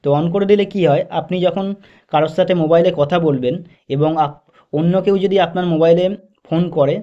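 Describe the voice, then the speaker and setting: A man narrating a step-by-step phone tutorial in a methodical, instructional way with repetitive phrasing. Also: on the high side. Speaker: tech tutorial host. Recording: dull, lo-fi voice-over recording.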